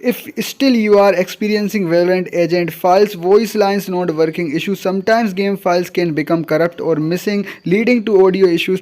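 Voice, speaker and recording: A man with a noticeably high voice hosts an instructional video. He reads scripted steps one after another, announcing each in a repetitive, formulaic pattern. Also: a little mellow. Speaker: tutorial video host. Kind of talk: scripted step-by-step tutorial narration.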